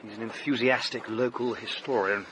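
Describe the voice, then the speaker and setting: An actor playing an anxious young English gentleman in a radio drama, earnest, well-spoken and a little hesitant. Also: medium pitch, steady tone, normal speed, a little hiss or hum, lightly acted, low-quality recording. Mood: conversational. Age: young adult.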